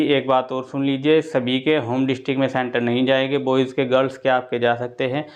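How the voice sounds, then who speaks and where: A man with a mid-pitched voice talks informally to students like a coaching presenter. He explains and advises, often repeating his points. The recording is slightly dull and lo-fi. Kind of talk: informal exam update talk to students.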